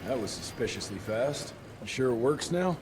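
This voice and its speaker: Man acting a gruff, sarcastic monster hunter. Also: mid-range voice, expressive, moderate pace, close to an everyday voice. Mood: sardonic, brusque. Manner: blunt, swaggering, sardonic. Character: gruff, sarcastic, hot-tempered male monster-hunting field agent. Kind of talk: video game cutscene acting.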